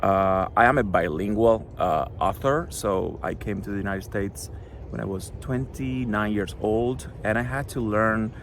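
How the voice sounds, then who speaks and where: A middle-aged man from Cuba, a Spanish native speaker with a Cuban accent, talks earnestly to a school audience about his children's book, pausing often with fillers. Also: fairly low pitch, slight background noise. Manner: earnest, halting, many fillers. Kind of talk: recorded address to a school audience.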